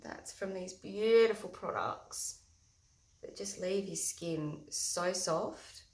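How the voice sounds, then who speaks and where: A woman with a loud, husky voice, chatting through a casual makeup tutorial early in the morning before her coffee. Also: lowish voice, slow pace. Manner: loud, chatty, talks through each step. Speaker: makeup vlogger. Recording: echoey room.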